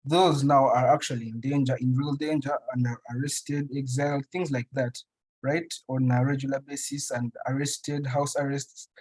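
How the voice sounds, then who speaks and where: A man's voice: mid-range voice, level tone, moderate pace, darkish tone, breathy edge, rough recording. A young man from Uganda, answering interview questions casually and thoughtfully, with frequent restarts and fillers. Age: young adult. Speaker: interview guest, writer.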